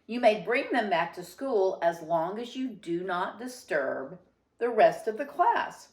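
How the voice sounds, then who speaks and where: Male storybook teacher, read by a woman: kindly, encouraging, measured delivery, clearly echoing room. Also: medium pitch, sing-song. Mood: gently firm. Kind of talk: children's storybook reading.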